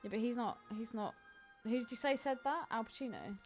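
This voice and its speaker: A woman's voice: on the high side, brisk, faint music behind. A woman co-hosting a film podcast, chatting casually in a blunt, teasing way. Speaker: podcast co-host.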